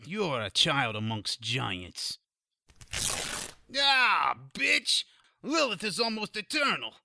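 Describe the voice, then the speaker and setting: A man's voice: a little high, wildly swooping pitch, slow pace, vocal fry, pushed and bright, a little hiss or hum, exaggerated voice. A man playing a cowardly, put-upon demon underling in an audio drama, whiny and indignant.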